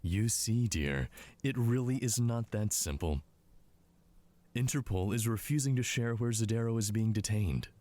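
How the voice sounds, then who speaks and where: Smug, low-voiced male commander played by an actor. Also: a little slow, mellow, lightly acted. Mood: smug, calculating. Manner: condescending, mocking, drawling asides. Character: smug, condescending male commander of an intelligence team. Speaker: voice actor in audio drama. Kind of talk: scripted drama dialogue.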